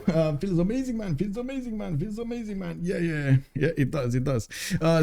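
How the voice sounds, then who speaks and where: A man casting a competitive strategy game match live, chatty and quick to laugh, greeting viewers as he talks. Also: fairly high pitch, mellow.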